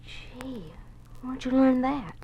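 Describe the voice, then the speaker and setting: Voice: sits high, slow, dark tone, muffled recording, a little hiss or hum. An eager american schoolboy in a 50s radio show, polite and kinda naive. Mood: eager, wondering. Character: earnest, eager young schoolboy, a Boy Scout and obedient son. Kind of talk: 1950s radio drama acting.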